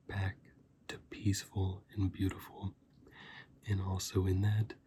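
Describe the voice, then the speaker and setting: A man speaks in a soft, breathy ASMR style, often slipping into a whisper. His delivery is even and very unhurried, with a dark, relaxed tone. He rambles casually like a reviewer chatting to viewers. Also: low voice, slight background noise. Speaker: host of an ASMR review channel.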